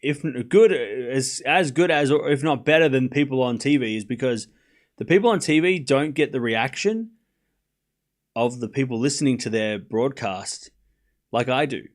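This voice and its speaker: A man's voice: mid-range voice, normal speed. A young Australian man streaming live tennis, calling the points and chatting casually with his viewers. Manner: relaxed and rambling, reads chat aloud and answers it, swears casually.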